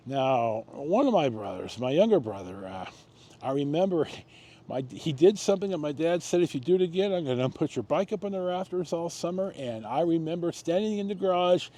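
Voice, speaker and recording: An American man hosting a storytime, telling personal stories in a casual, rambling, halting way, reminiscing and correcting himself as he goes. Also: mid-range voice, dark tone. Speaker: host of a personal storytime show.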